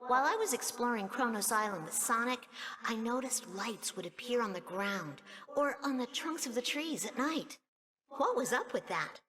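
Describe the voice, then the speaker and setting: A woman's voice: fairly high pitch, expressive, moderate pace, fairly bright tone. A woman voicing a young, inquisitive and wary sidekick in a video game, questioning and skeptical. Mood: wary, curious. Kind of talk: video game cutscene acting. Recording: reverberant hall, faint noise.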